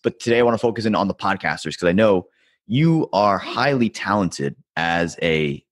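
Young male host, very deep voice, dry close sound, relaxed and chatty. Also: moderate pace, dry recording. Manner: easygoing, laughs often, brief backchannels. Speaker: podcast host. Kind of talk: casual interview talk.

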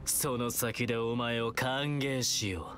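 An actor playing a taunting, playful and menacing young male villain in a video game, with a theatrical, teasing, drawn-out delivery. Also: medium pitch, steady tone, a bit bright, soft background music. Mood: mocking, playful.

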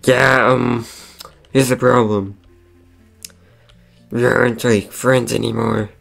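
A man's voice: medium pitch, little pitch movement, takes it slowly, creaky, fairly bright tone, soft background music, exaggerated voice. A man voicing a bored, pleading animatronic pirate fox in a cartoon skit, with hesitant delivery. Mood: glum.

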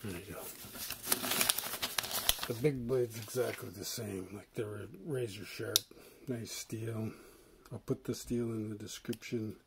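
A man casually demonstrating a pocket knife at a table, relaxed and rambling, with chuckles and filler words. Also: on the low side, a little slow, gravelly, long pauses. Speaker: channel host reviewing a pocket knife. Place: indoors at a wooden table. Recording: steady background noise with handling clicks.

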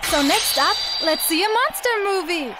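A woman's voice: very high voice, animated, moderate pace. A woman voicing a perky, playful teenage monster trainer in a manga dub, bubbly and theatrical. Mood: playful, confident. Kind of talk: anime-style manga dub. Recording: echoey reverb under loud music.

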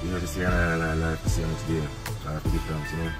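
A man's voice: very deep voice, level tone, very fast, loud music behind the voice. A man in his forties with a Jamaican accent, a truck driver talking casually to his phone with devout, repetitive Rastafarian phrasing. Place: in and around truck cabs and roadside. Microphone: handheld phone at arm's length.

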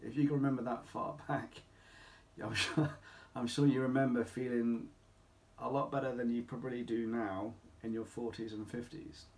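A middle-aged man speaking as a clinic doctor, explaining to camera in an emphatic, deliberate way with rhetorical questions. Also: medium pitch, steady tone, darkish tone. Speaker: doctor at a men's health clinic. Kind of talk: informal explainer talk to camera. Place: small home office or consulting room, indoors.

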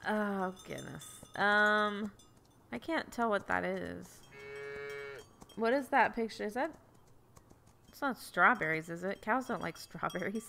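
A woman's voice: mid-range voice, measured pace, vocal fry, pauses often, bright, forceful tone, a little hiss or hum. A young woman streaming a farming game over a headset, chatting casually and giggling as she thinks aloud. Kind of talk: casual gameplay chat. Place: home room indoors.

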